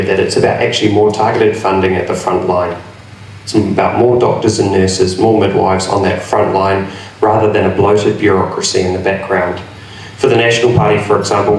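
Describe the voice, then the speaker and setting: A man's voice: on the low side, a little mellow, a little hiss or hum. A man, an election candidate giving an assured, on-message answer at a public forum. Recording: echoey hall from far off.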